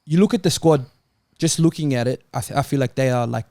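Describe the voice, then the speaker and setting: A man's voice: mid-range voice, fast talker, dark tone. A man in a casual football debate, phrasing his argument tentatively and circling round his point. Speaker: football panel show regular.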